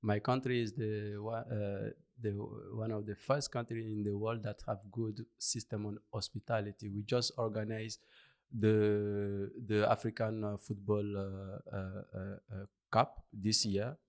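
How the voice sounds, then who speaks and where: A man from Ivory Coast with an Ivorian French accent, a native Bambara speaker, answering interview questions in halting English and searching for words. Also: on the low side, little pitch movement. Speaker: interview guest. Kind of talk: conversational interview.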